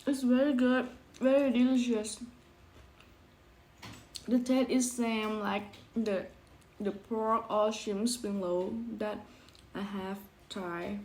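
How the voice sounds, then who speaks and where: A woman's voice: a little high, fairly even intonation, slow pace, long pauses, darkish tone, slight background noise. A woman vlogging casually about food, speaking in simple, hesitant English. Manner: hesitant, simple non-native English phrasing. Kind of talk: casual food vlog to camera.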